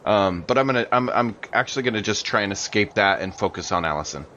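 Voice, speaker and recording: A man's voice: on the low side, expressive, fast talker, sharp tone, dull audio, slight background noise. A man playing a tabletop role-playing game, talking casually and hesitantly as he thinks aloud about his character.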